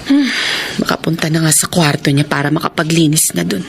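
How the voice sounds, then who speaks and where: An actress with a Filipino accent playing a weary, fussy young housewife in a radio drama, expressive and given to complaining. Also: female voice, low-pitched, fairly quick, vocal fry, noisy background. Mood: grumbling.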